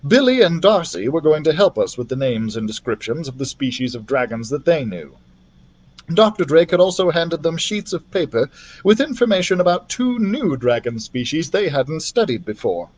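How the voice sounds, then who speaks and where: A man narrating a children's fantasy novel as a library storyteller, expressively, in an animated manner. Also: a little high, moderate pace. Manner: animated, acts out the characters' dialogue.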